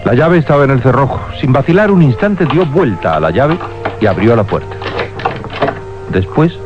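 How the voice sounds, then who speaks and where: Animated male radio-drama narrator. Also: mid-range voice, normal speed. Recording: dull radio recording under loud music.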